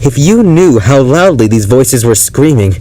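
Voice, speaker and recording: Slow, unhinged obsessive man, played by an actor. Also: mid-range voice, big pitch swings, warm tone, poor audio. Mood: brooding, haunted. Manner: halting, brooding, breaking into unhinged laughter and intensity. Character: unhinged obsessive yandere ex-lover confessing to his therapist. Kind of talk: dramatic audio roleplay monologue.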